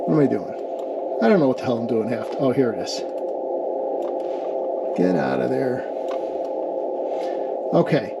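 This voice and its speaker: A man's voice: mid-range voice, measured pace, long pauses. A man giving an informal, hands-on technical demonstration as an amateur experimenter, explaining as he goes and checking in with the viewer. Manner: explanatory, checks in with "okay" and "right". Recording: clear steady background noise.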